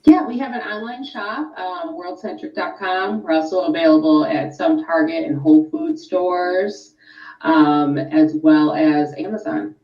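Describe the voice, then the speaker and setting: A woman's voice: lowish voice, measured pace, echoey room, recorded from a distance, muffled recording. A woman guest in a radio interview, explaining things informatively with frequent fillers. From California. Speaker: interview guest, sustainability expert.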